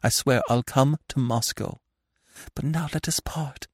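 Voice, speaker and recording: A distraught young woman, high and breathless, pleading in desperation, as a male reader puts her on. The voice swings widely as she begs, and the recording is dry and close. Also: animated, takes it slowly, gravelly, a little mellow, slightly rough, no room sound, exaggerated voice. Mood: tender pleading. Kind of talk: audiobook reading of a classic novel.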